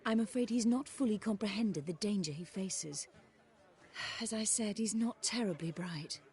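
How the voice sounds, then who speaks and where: Haughty noblewoman for a fantasy game: mid-pitched, formal, measured, dryly disdainful. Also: a little mellow, husky. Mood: composed, wry. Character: haughty, composed aristocratic mother in fantasy world. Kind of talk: video game dialogue.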